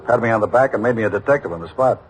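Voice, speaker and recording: In a vintage radio drama with music, an actor plays a seasoned American detective. He is a man with a lowish voice who talks casually and colloquially, with no show about him. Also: rapid-fire, natural voice, low-quality recording. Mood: casual storytelling. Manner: colloquial, casual anecdote telling. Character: seasoned, unassuming plainclothes police detective. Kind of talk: old radio police drama acting.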